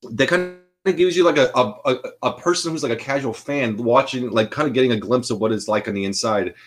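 A man's voice: medium pitch, fast talker. A man co-hosting a podcast, talking loosely with many restarts and fillers. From Austria. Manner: rambling, full of restarts and fillers. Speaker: podcast co-host. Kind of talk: casual chat.

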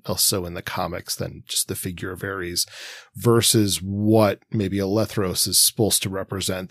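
A man's voice: fairly low pitch, no room sound. A man co-hosting a podcast, discussing comics analytically in a wordy, think-aloud way. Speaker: podcast co-host.

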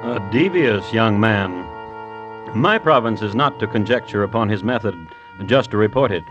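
An actor narrating an old-time radio crime drama as a wry, formal chronicler, measured and dry. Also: male voice, lowish voice, expressive, lightly acted. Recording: dull vintage radio recording, music behind.

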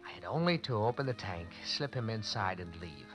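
An actor playing a nervous young man who tells his own crime in an old radio drama, his narration hushed and often whispered. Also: fairly low pitch, animated, a little fast, over music, close to an everyday voice. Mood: hushed tense. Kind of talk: 1940s radio acting, first-person narration.

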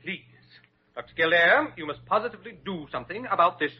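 High, fussy hospital official. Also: male voice, lively intonation, fast, a little hiss or hum. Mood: indignant. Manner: prissy, precise, huffy. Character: fussy, officious, indignant male hospital doctor. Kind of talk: 1940s radio drama acting. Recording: muffled vintage radio recording.

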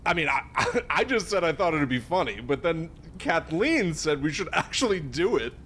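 An actor playing a young prankster in an audio drama who poses as a coaxing killer among friends. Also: male voice, fairly high pitch, expressive, normal speed, a bit bright, slight background noise. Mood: playful, startled.

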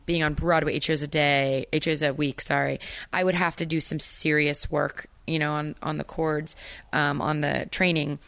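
A woman with a notably low, smooth and clean voice talks as an interview guest. She rambles freely, piling up fillers, restarts and side remarks. The sound is close and dry, with no room around her. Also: a bit bright, no room sound. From Maryland, USA. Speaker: actress, guest. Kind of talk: casual interview chat.

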